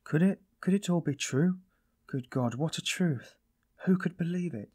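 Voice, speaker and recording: A man's voice: medium pitch, expressive, normal speed, darkish tone, voice pushed up, lightly acted. A man voicing a distraught, timid young woman in audiobook dialogue, naive and full of anguished exclamation. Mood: shocked, agitated.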